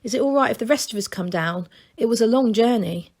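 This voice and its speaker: A woman's voice: a little high, a little fast, a little mellow, pitched above the performer's own voice. Woman doing a puzzled young gnome man. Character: puzzled young male gnome leader, plain-spoken.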